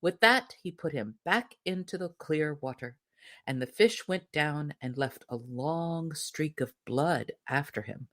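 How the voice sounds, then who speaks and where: A woman reading a fairy tale aloud as scripted narration, in an expressive storytelling manner. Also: low voice, measured pace. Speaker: story reader.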